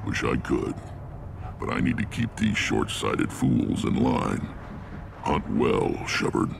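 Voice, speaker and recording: Deep, gravelly alien clan chief. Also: male voice, wildly swooping pitch, takes it slowly, noisy background, exaggerated voice. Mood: gruff, friendly. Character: gruff, seasoned male alien clan leader, massive and hearty. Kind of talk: video game dialogue.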